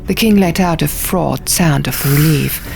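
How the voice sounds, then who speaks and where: A woman narrating a fantasy audiobook in a hushed, measured, dramatic storytelling style. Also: sits low, vocal fry. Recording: music behind the voice.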